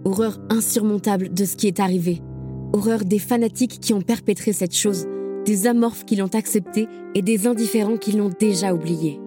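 A woman voices a resolute survivor of the camps who insists that no one forget. Her delivery is earnest and insistent, at a middle pitch. Music plays clearly behind her. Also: normal speed, close to an everyday voice. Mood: solemn. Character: female camp survivor, resolute, urging remembrance. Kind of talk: audio drama acting.

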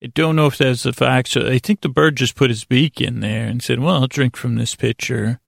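Male bedtime podcast host: gravelly, even-toned, soothing, a hesitant and self-mocking rambler. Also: medium pitch, no room sound, studio quality. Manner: meandering, halting, self-deprecating, repeats himself; lulling, soothing delivery.

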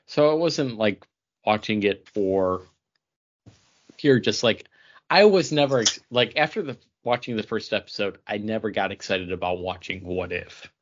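A man's voice: on the low side, animated, normal speed. A man co-hosting a podcast in a casual, conversational style. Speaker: podcast co-host. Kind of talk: casual chat. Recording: dull lo-fi audio.

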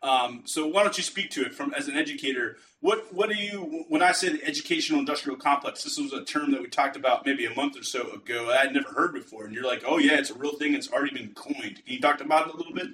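Bright-voiced man hosting, opinionated. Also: mid-range voice, fast, few pauses, distant mic, poor audio. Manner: opinionated, scoffing asides. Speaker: podcast host. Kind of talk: conversational podcast interview.

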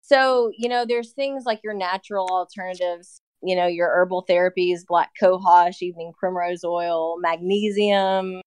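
A woman's voice: lowish voice, a little mellow, clear, resonant tone, dry recording. A woman in her thirties, a nurse practitioner guest, explaining in casual chat with lots of "you know" fillers. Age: 30s. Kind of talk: casual podcast interview.

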